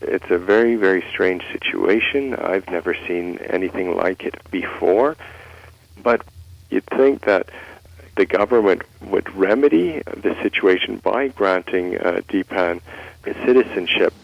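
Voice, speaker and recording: A man's voice: low voice, measured pace, creaky, dry recording. A man commenting as a lawyer in an interview, speaking emphatically and rhetorically. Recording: dull, lo-fi interview sound.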